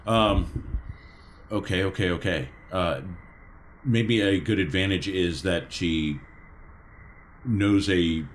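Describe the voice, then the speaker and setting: A man playing a tabletop game, chatting casually and in character, excitable and given to repeating phrases. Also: deep voice, slow, pauses often, a little hiss or hum.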